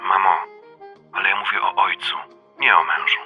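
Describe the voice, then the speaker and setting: Blunt adult son, lowish but very bright, cutting voice, dry teasing, radio-drama conversation. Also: male voice, moderate pace, soft background music. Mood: troubled. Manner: colloquial, dryly teasing. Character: blunt, wry adult son, uneasy new father.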